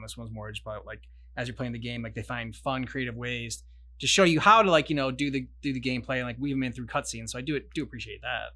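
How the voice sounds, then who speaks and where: A man hosting a reaction video, chatting casually with halting, rambling explanations. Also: medium pitch, bright, forceful tone. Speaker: host of game cutscene reaction videos.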